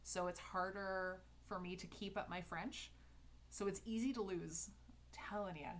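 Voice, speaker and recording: A woman's voice: medium pitch, steady tone, measured pace, a little hiss or hum. A woman with a Canadian English accent chatting casually with her live viewers while she letters, upbeat and full of fillers and restarts. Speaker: calligraphy livestreamer.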